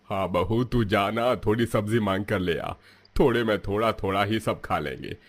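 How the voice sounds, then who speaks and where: A man's voice: on the low side, moderate pace. A man playing a poor old father-in-law, impatient and childishly eager, in a family story. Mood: grumbling, coaxing. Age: older. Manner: impatient, wheedling.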